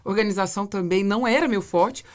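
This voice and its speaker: A woman's voice: medium pitch, quick pace. Brazilian woman podcast host, mid-range voice, explains stuff step by step, casual. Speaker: podcast host and business mentor. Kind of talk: casual interview conversation.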